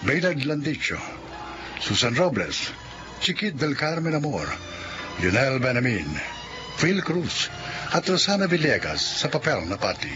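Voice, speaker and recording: A male announcer introduces a radio drama and reads the credits. He has a lively, rising and falling voice. His delivery is measured and declamatory. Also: mid-range voice, lo-fi sound, music in the background. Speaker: program announcer.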